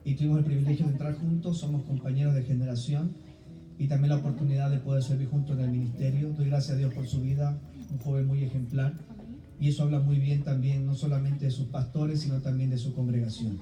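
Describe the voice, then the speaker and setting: Ecuadorian guy giving a sermon, really mellow voice, echoey room, mic kinda far away. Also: medium pitch, flat delivery, quick pace. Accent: Ecuadorian Spanish. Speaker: guest preacher. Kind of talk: sermon with personal introduction. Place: church hall, recorded from a distance. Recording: roomy, distant mic, faint background noise.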